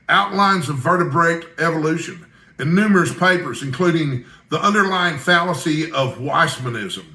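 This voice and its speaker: Male reader as storybook newspaper editor, older Scot, kindly and wry, bright raised voice, roomy echo. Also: a little high.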